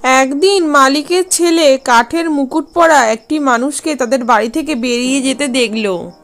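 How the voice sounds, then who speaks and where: A woman narrates a scripted folk tale for a cartoon in storybook fashion. Her voice is high, with faint music playing underneath. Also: measured pace, a bit bright.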